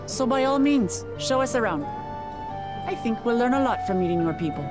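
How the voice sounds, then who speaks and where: A woman's voice: fairly high pitch, animated, moderate pace, over music, close to an everyday voice. A woman voicing a frank, open-hearted young warrior princess in a fantasy video game, direct and earnest. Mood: earnest, resolute.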